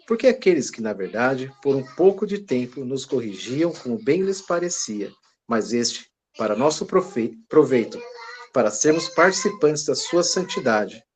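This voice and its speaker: A man's voice: medium pitch, darkish tone. A man taking part in an online church study, reading a Bible passage aloud, stumbling and restarting words and apologizing for it, from a distance on a video call. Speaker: study participant reading scripture.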